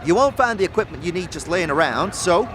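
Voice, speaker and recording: A man voicing a brisk, no-nonsense, muscular horned forewoman in a fantasy audio drama. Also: sits high, animated, voice pushed up. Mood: bossy, approving. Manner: bossy, no-nonsense, briskly instructing.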